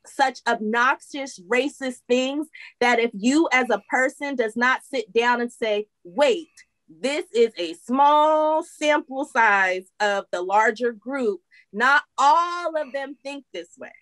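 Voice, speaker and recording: A woman's voice: high voice, animated, slow pace, a bit bright, dry recording, low-quality recording. A woman co-hosting a casual podcast, talking bluntly and with exasperation, stretching out words for emphasis. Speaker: podcast co-host.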